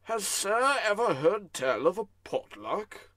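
A man playing a deferential, formal butler with measured politeness, in an old-time radio comedy. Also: high voice, big pitch swings, noisy background, heavily acted. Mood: politely suggesting.

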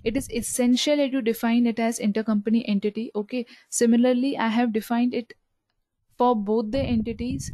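Soft-toned higher-voiced woman giving a tutorial. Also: fairly even intonation, brisk, a little hiss or hum. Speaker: software trainer. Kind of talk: step-by-step screen tutorial narration.

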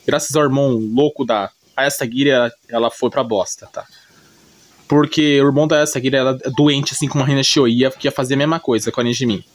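Brazilian man rambling, slightly high-pitched. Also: no room sound. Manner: rambling, slangy, often self-correcting. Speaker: YouTube anime recapper. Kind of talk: casual, unscripted retelling of an anime story.

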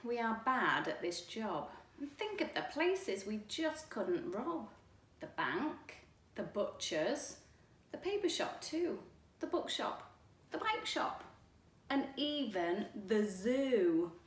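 A woman voicing an excitable, eager male dog, a storybook robber's sidekick who exclaims loudly. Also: a little high, slow pace. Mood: resigned, emphatic. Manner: exclaiming, whooping. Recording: distant mic, echoey room, faint noise.

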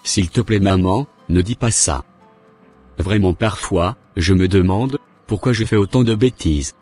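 A man voicing a wayward young son in a cartoon, speaking in an earnest, deliberate way. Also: deep voice, darkish tone, soft background music. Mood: pleading. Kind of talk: scripted cartoon dialogue.

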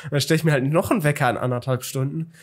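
A man's voice: fairly high pitch, fairly even intonation, rapid-fire. Young male co-host, hungover, rambling story-teller full of ums and restarts. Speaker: podcast co-host. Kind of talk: casual chat.

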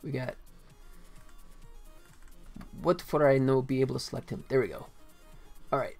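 Gravelly American man thinking aloud. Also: mid-range voice, normal speed, a little mellow, soft background music. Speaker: game developer livestreaming. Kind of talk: casual chat while working.

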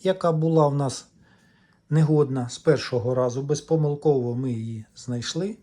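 A man with a husky, relaxed voice of middling pitch. He talks through a hands-on repair demonstration casually, one step at a time, pausing hesitantly as he explains. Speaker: electronics repair vlogger.

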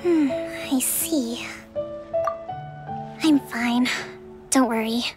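A woman playing a gentle, dutiful young priestess and strategist in a video game, speaking in a measured, formal way. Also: fairly high pitch, music in the background. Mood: grave, composed.

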